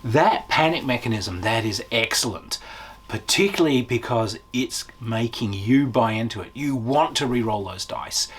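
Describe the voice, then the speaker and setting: A man with a mid-pitched, slightly husky voice talks as the host of a review video. His delivery is unscripted and conversational, with enthusiastic emphasis on the points he makes. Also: lively intonation, moderate pace. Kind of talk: casual unscripted review talk.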